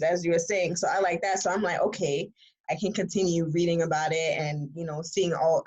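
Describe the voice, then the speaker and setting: A woman's voice: on the low side, dull audio. A woman in a casual book discussion, talking in slangy, conversational speech and acting out imagined reactions. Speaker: author and reader on a book discussion panel.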